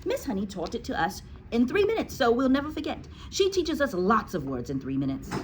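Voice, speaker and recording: A woman voicing a bright, brave little schoolboy in a story, piping and eager. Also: fairly high pitch, wildly swooping pitch, a little fast, slight background noise, voice pushed up. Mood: eager, cheeky.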